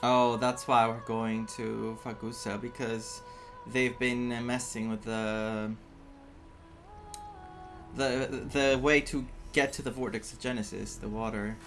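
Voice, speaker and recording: A person giving casual game playthrough commentary as a man, thinking aloud in a halting, musing way. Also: mid-range voice, little pitch movement, fairly bright tone. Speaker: game streamer playing and reacting. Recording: game music behind.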